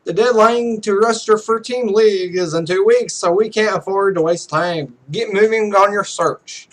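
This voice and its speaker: A woman's voice: mid-range voice, normal speed, natural voice, rough recording. A man voicing a blunt, demanding young female pro gamer with a curt, commanding manner in a visual novel. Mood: brisk, demanding.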